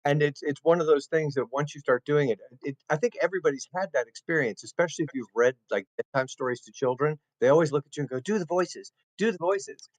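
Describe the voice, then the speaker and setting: Talkative male co-host, slightly high voice. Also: fast talker, few pauses, no room sound, low-quality recording. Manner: talkative, animated storyteller. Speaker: co-host, improv comedian. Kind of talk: casual interview chat.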